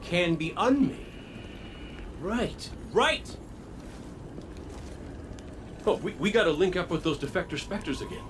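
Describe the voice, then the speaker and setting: An actor playing a chatty, upbeat sidekick in a video game, quick and excitable. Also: male voice, high voice. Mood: eager, upbeat. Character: eager, chatty, upbeat wisecracking male sidekick. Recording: noisy game ambience behind voice.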